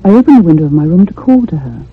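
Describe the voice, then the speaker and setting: Anxious convalescent woman, radio drama, very husky, lively intonation, literary phrasing, muffled narrow-band audio. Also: deep voice, very fast, heavily acted. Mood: reflective. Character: anxious, curious convalescent unmarried woman narrating a haunting. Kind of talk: radio drama acting and narration. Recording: muffled, phone-like old radio sound.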